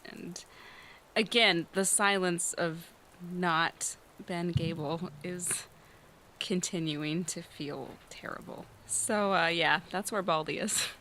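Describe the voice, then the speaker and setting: A woman's voice: on the low side, steady tone, slow pace, sharp tone, slight background noise. A woman playing a tabletop game, casually narrating what her character does.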